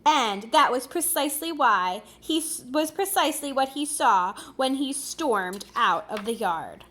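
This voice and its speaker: A woman with a high voice reads a picture book to small children. She takes her time, pausing over words and adding small asides, with an expressive teacherly delivery. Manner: slow, deliberate, expressive, pausing over words for young listeners. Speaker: preschool teacher reading aloud. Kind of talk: animated children's read-aloud with asides.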